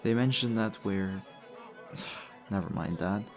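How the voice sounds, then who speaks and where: A man's voice: on the low side, slow pace. A man playing a charming, teasing vampire host in an intimate, conversational roleplay style. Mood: suave, curious. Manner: flirtatious, suave, conversational. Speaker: audio roleplay voice actor. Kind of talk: intimate ASMR roleplay acting. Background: background music.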